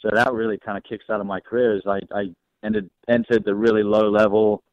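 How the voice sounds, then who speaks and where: A man's voice: fairly low pitch, level tone, fairly quick, darkish tone, no echo. Easygoing man with a mixed Aussie accent. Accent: mixed Australian and American. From Australia. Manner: easygoing, laughs often, many fillers. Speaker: race car driver guest. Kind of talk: phone-in radio interview. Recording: dry, dull phone-line sound.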